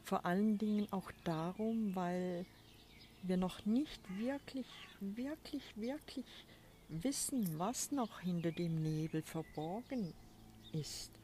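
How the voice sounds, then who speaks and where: A woman hosts her spiritual podcast from an outdoor balcony, speaking in a soft, often breathy way. She talks very unhurriedly and lets pauses sit. Birdsong and a faint hiss of outdoor noise drift behind her voice. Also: medium pitch. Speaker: podcast host. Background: birds and a crow.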